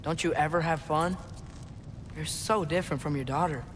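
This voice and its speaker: Man playing an earnest, high-voiced teenage boy adventurer. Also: normal speed, noisy background, lightly acted. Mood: tentative. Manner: earnest, slightly awkward, protesting. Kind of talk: video game cinematic acting.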